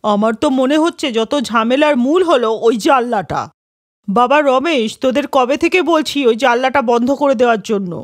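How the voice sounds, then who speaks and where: Exasperated older-generation matriarch for a cartoon, female actor, commanding, scolding, fairly high. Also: moderate pace, lightly acted. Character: exasperated bossy mother-in-law in a cartoon.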